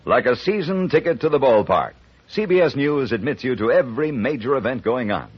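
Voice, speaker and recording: A man's voice: medium pitch, expressive, a little fast, slight background noise. An American man reading a scripted mid-century radio promo as a network announcer, polished and emphatic. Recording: muffled old radio recording.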